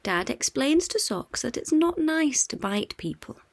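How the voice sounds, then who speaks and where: Casting: female children's storybook narrator, unhurried, very expressive read-aloud with lively intonation. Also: high-pitched.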